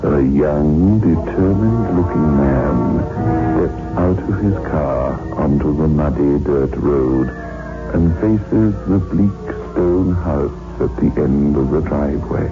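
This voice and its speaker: A man's voice: rumbling low, takes it slowly. A man announcing and narrating in a scripted 1960s radio style. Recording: muffled old radio recording with music.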